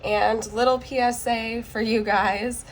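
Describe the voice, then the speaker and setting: Hoarse, flu-cracked woman reading tarot. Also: on the high side, flat delivery, takes it slowly, a little hiss or hum. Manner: chatty, checks in with frequent "okay?". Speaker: tarot card reader. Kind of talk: casual tarot reading to camera.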